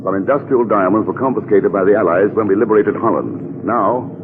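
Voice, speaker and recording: A cool, wry investigator played by a man, speaking in a fairly low, understated voice. It is 1940s radio thriller acting on a tinny old recording. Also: fairly quick, noisy background, lightly acted. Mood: dry, firm. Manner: dry, clipped, understated.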